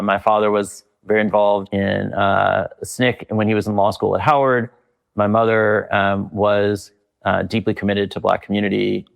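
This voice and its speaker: A man's voice: on the low side, vocal fry. A middle-aged man being interviewed, speaking thoughtfully with frequent fillers and restarts. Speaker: guest, leadership consultant. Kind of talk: reflective conversational interview.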